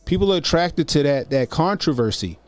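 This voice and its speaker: A man's voice: fairly high pitch, animated, fairly quick, faint music behind. A man in his forties doing casual livestream commentary as a YouTube host, talking informally and repeating phrases for emphasis. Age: 40s.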